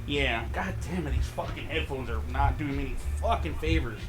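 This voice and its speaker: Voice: mid-range voice, expressive, fairly quick, music in the background. A tipsy man co-hosting a casual podcast, rambling, swearing freely and laughing a lot. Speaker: podcast co-host. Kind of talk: casual chat.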